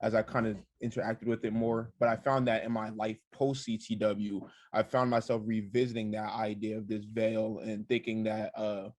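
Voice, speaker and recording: A young man, a program alumnus, reflecting thoughtfully on a text with deliberate, hesitant pacing. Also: lowish voice, flat delivery, darkish tone, no room sound, clean studio sound. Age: young adult. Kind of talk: reflective personal testimony.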